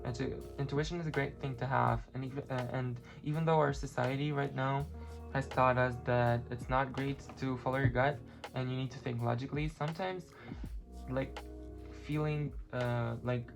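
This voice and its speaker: Gravelly voice, non-native english, lots of uhs and likes, doing a card reading with clear music under it. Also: medium pitch, fairly even intonation. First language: not English. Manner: halting, many fillers, searching for words. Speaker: tarot and oracle card reader. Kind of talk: pick-a-card spiritual reading. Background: clear background music.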